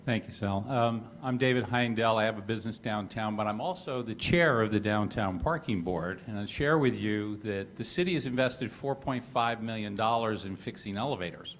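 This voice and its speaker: A business owner giving a matter-of-fact update at a club meeting. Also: male voice, on the low side, moderate pace, few pauses, a little hiss or hum. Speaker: business owner and parking board chair.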